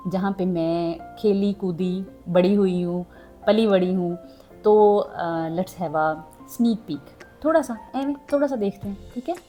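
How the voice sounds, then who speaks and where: Chatty woman vlogger, mellow voice. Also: fairly low pitch. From Pakistan, southern Punjab. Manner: chatty, mixes in English words, frequent fillers. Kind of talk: casual chat to subscribers.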